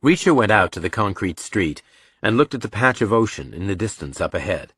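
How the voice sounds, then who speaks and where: A man's voice: lowish voice, very animated, a little fast, fairly bright tone, husky. A man narrating a thriller audiobook in a scripted, dramatic storytelling style.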